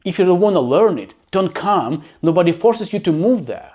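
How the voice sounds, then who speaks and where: A man's voice: sits high, lively intonation, moderate pace, darkish tone. A man who learned English as a second language reads out facts and adds his own plain-spoken opinions, as a YouTuber. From abroad, living in the UK. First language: not English. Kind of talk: reading facts with personal commentary.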